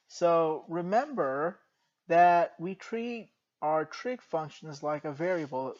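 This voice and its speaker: A soft-spoken man with a slightly high voice walks through a math problem at a slow, careful pace and slips in dry jokes. The recording sounds dull and lo-fi, like a basic home lesson video. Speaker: math teacher.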